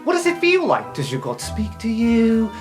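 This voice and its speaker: A woman's voice: on the high side, wildly swooping pitch, normal speed, music in the background, pitched above the performer's own voice. A woman playing a sly, sardonic, wheedling noble sister in an improvised tabletop game. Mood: probing, defensive. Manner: wheedling, dryly sarcastic.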